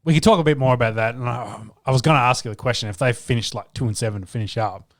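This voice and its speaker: A man's voice: mid-range voice, very fast. A man co-hosting a sports podcast, chiming in with dry, short remarks. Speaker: podcast co-host. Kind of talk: casual sports chat.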